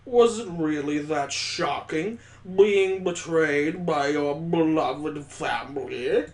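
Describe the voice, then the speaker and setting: A man voicing a sleazy, menacing male villain from a visual novel, in a slow and leering delivery. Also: on the high side, a little mellow, roomy sound.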